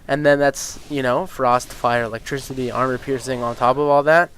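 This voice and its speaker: Man, stuffy nose, casual gaming chat, rambling, mid pitch, lots of ums, swears. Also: moderate pace, slight background noise. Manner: rambling, with many ums and uhs, and swears freely; gets excited and incredulous about game balance. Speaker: gaming YouTuber. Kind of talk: casual gameplay commentary.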